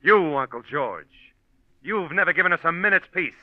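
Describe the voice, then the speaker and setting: A man's voice: fairly high pitch, animated, no room sound, close to an everyday voice. Man playing defensive American husband, muffled old radio. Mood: defiant, indignant. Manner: halting, indignant self-justification. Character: evasive, defensive husband suspected of murdering his wife. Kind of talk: 1940s radio drama acting.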